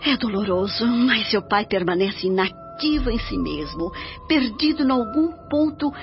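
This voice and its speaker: A woman playing a serene, devoted older mother spirit in a radio drama, speaking in a solemn, measured, instructive way. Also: on the high side, lively intonation. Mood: gentle, earnest. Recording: dull radio drama track with music.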